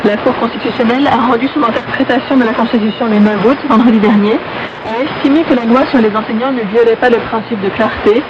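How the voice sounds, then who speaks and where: A woman presents an explanatory news report in a fairly high voice. She comes through a shortwave radio broadcast, with dulled sound and very heavy noise all around her words. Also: lo-fi sound.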